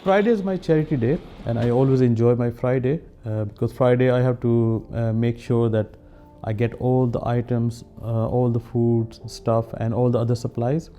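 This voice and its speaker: A man who founded a charity, speaking earnestly in a documentary interview, with short filler pauses. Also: medium pitch, fairly even intonation, dark tone. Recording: music bed underneath.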